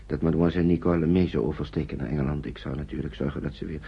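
Very deep, courteous weary gentleman. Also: fairly even intonation, very fast, gravelly, slightly rough, full character voice. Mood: earnest, reassuring. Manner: formal, hesitant, repeating himself. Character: courteous weary older Englishman fleeing with children in wartime. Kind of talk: radio drama acting, naturalistic. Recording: dull old radio play recording.

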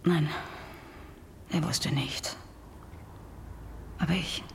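An actress playing a fragile, traumatised witness in a radio drama, halting, breathy and often whispering. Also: female voice, sits low, fairly even intonation, a little hiss or hum, heavily acted. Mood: tearful, subdued.

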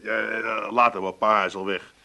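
An actor playing a flustered, plaintive grown son with an Amsterdam accent in a radio comedy. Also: male voice, fairly low pitch, level tone, moderate pace, gravelly, sharp tone, exaggerated voice. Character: hapless, whiny grown son, easily upset.

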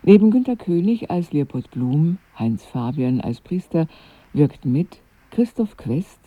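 Slow, dry, thin-sounding radio announcer. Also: medium pitch, big pitch swings, no echo. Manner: measured, sober. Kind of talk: formal scripted credits announcement. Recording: dry but thin, phone-like radio recording.

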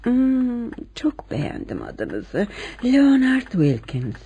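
Flirtatious lonely housewife: mellow, husky voice, intimate radio theatre delivery. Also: female voice, a little high, wildly swooping pitch, takes it slowly, exaggerated voice. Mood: flirtatious, wistful. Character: lonely, flirtatious wife of a missing man. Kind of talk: Turkish radio theatre acting.

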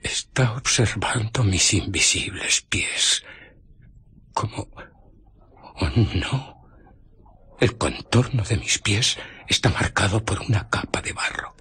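An actor playing a bitter, haunted scientist and fugitive, recounting his ordeal in a radio play with theatrical, deliberate pauses. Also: on the low side, expressive, pushed and bright, slightly rough, exaggerated voice. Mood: tense recounting. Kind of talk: dramatic radio play narration.

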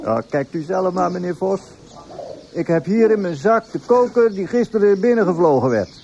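A man's voice: high-pitched, lively intonation, normal speed, gravelly, darkish tone, lo-fi sound, full character voice. An actor playing a defensive, exasperated man with dry wit, indignantly answering accusations in a radio play. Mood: indignant, sardonic. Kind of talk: radio drama acting.

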